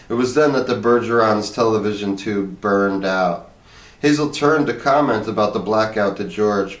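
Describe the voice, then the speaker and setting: A man reading a short story aloud as scripted narration. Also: fairly low pitch. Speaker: story reader. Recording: echoey room, distant microphone.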